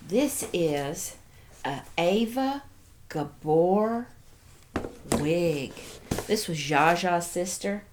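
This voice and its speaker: Female host, unhurried and playful, casual show-and-tell chat, faint noise and clicks on a home recording. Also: medium pitch. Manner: addresses toys and viewers playfully, cooing.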